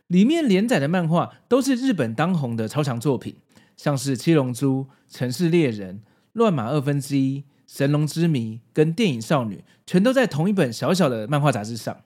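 A man's voice: a little high, very animated, moderate pace, a little mellow. A man in his forties with a Taiwanese Mandarin accent narrates a scripted video essay with casual asides, in an explanatory, nostalgic way. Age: 40s. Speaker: host.

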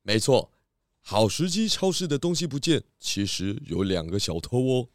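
Confident, lively male pig detective played by a man. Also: medium pitch, moderate pace, no room sound, studio quality, close to an everyday voice. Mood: assured, explaining. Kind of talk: children's detective audio drama.

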